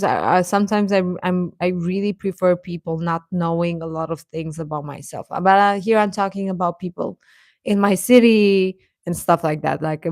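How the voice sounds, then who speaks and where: A woman hosting a livestream, chatting casually and intimately with viewers in a halting, confiding way. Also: fairly low pitch, a little mellow, dry recording, clean studio sound.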